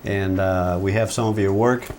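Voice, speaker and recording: Man, interview host, low-pitched, relaxed, faint background hiss. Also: moderate pace. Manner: friendly, relaxed with filler words. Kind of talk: interview talk show.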